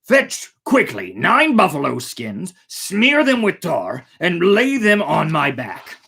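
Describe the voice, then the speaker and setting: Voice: mid-range voice, huge pitch swings, slow, vocal fry, a bit bright, breathy edge, full character voice. A sage talking horse from a fairytale, voiced by a storyteller. The voice moves up and down expressively while giving loyal, knowing counsel in a storybook manner. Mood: instructing.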